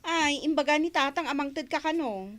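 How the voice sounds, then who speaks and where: A woman's voice: sits high, brisk, a bit bright, no echo. A woman with an Ilocano accent playing a nagging, worried keeper of a poor household in a radio drama. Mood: nagging, reproachful.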